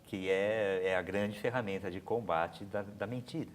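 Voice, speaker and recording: Over faint rumbling noise, a man puts a question to a panel. His voice is low in pitch. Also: normal speed.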